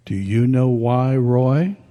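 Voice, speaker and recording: A man acting a gentle listener and narrator in a staged radio drama, speaking deliberately. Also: medium pitch, close to an everyday voice. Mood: gentle, concerned. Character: Colonel Bear, kindly listener comforting a ghost, also narrator. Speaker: narrator and actor. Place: live club meeting in a hall. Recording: echoey hall with long reverb.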